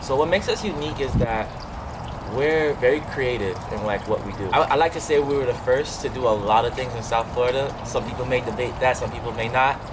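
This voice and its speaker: A young man from Trinidad answering interview questions in a relaxed, rambling way, full of "like" and "you know". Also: mid-range voice, fairly quick. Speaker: interviewee, event promoter. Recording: loud background noise.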